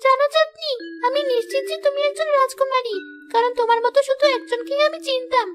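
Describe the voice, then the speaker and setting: Tiny, piercingly high talking bird voiced by a woman. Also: fairly even intonation, brisk, faint music behind. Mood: insistent. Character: small talking bird, earnest friend of a girl.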